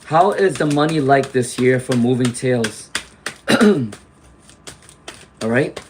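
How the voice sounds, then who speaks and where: A man doing a live tarot reading, chatty and reassuring, clearing his throat often as he loses his voice to laryngitis. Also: medium pitch, lively intonation, slow pace, noisy background. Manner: chatty, reassuring, frequent "okay?" and "all right?" tags. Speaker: tarot reader; teacher.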